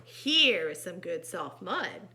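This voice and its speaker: A woman's voice: on the low side, sing-song, takes it slowly, sharp tone, voice pushed down, full character voice. A woman voicing a small, fussy, mud-loving young pig from a children's storybook, with emphatic, exclamatory delivery. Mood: contented.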